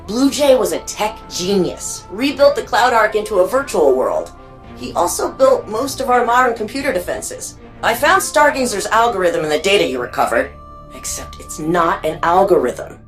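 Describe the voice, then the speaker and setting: An actress playing a quirky, eager young woman archivist in a video game, chatty and informal with a wry, geeky manner. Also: medium pitch. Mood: intrigued. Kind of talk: video game character dialogue.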